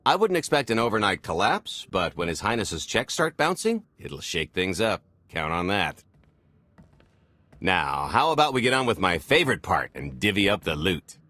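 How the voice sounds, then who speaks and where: A middle-aged rogue smuggler for a game cutscene. He is glib and cocky, swinging widely in pitch with a wry edge. The sound is close and dry. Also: very animated, moderate pace, bright, forceful tone, no room sound, heavily acted. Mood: wry, pleased. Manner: wry, breezy, glib banter. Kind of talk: video game cutscene acting.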